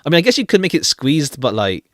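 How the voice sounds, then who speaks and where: A man's voice: on the high side, big pitch swings, fast talker, a bit bright, dry recording, studio quality. A man streaming a game with casual commentary, rambling hesitantly and repeating phrases as he goes. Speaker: live streamer. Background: faint jazzy game music.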